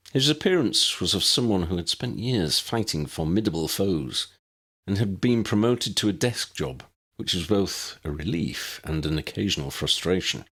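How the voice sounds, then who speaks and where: A man's voice: low voice, fairly bright tone, slightly rough. A man reading a scripted story aloud as an audiobook narrator.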